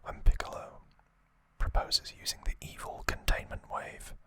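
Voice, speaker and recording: A man softly whispering an ASMR recap in a breathy, unvoiced voice, with an unhurried, halting delivery and pauses. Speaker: ASMR host.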